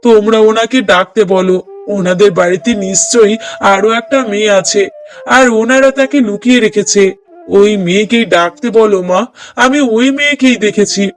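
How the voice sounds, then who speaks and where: A man's voice: high voice, normal speed, soft background music, natural voice. A man voicing an insistent, lovestruck young son in a family cartoon, earnest and pleading. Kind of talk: Bengali moral-story cartoon dubbing.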